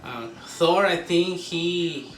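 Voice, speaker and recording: A man giving a casual, excited fan review to camera, rambling informally and repeating himself. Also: on the high side, extremely slow, soft background music. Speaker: video creator reviewing a film. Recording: roomy echo, distant mic, faint noise.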